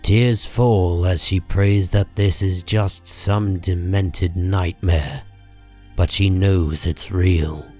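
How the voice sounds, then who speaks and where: A man narrating a comic book drama from a script in a grave, deliberate manner. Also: low voice, steady tone, warm tone, faint music behind.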